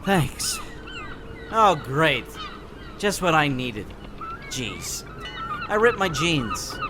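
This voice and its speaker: Guy doing a grumpy sardonic teen boy for a comic dub, slow talker, pitch all over, noisy audio. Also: wildly swooping pitch, full character voice. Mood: sullen. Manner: grudging, sardonic. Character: sullen sarcastic teenage boy in torn jeans.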